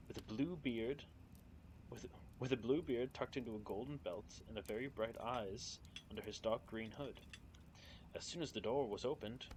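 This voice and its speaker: A man's voice: medium pitch, a little hiss or hum. Nervous, giggly young man reading aloud. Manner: giggly, nervous, chats with viewers between passages. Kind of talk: casual live-stream book reading. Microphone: home streaming mic.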